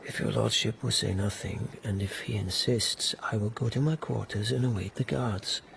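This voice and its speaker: A man's voice: on the low side, moderate pace, creaky, fairly bright tone, a little hiss or hum. An actor playing a dogged, intense palace policeman in a radio drama, speaking in an earnest, formal and carefully courteous way. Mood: respectful, resolute.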